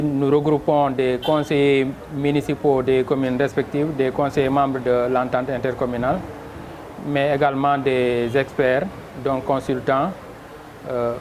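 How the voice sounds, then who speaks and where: A man with a Senegalese accent giving a formal, measured interview statement as a local official, with halting phrasing. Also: mid-range voice. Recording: clear background noise, on-location ambience.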